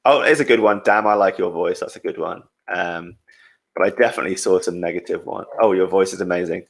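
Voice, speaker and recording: A young man from the English Midlands talking in a relaxed podcast chat. His voice sits low with a gravelly, creaky edge, and he reads viewer comments aloud, laughing easily between them. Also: brisk. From England, Midlands. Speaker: podcast host.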